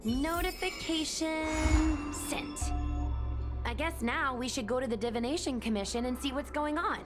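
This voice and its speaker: A woman voicing an energetic, excitable young female streamer in a video game, chatty and teasing in manner. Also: high voice, measured pace, over music. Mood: brisk.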